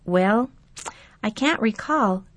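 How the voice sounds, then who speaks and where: A woman voicing a mild, forgetful old grandfather in a storybook, speaking slowly and musing. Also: medium pitch, expressive, no echo.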